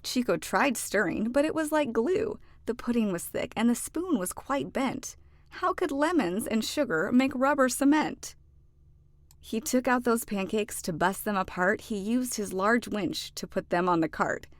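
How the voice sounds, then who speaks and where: A woman narrating a children's storybook expressively, with deliberate phrasing and dramatic emphasis. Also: a little high, lively intonation, no room sound. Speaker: children's book read-aloud narrator.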